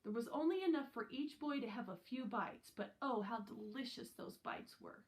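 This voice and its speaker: A woman's voice: on the high side, animated, normal speed. A woman narrating a children's novel aloud as a scripted reading. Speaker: audiobook reader.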